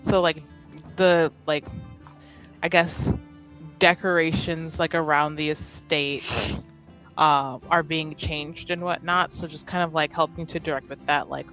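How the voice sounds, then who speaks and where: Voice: medium pitch, steady tone, unhurried, a bit bright, soft background music, poor audio. A player casually improvising narration in a tabletop roleplaying game, hesitant and thinking aloud.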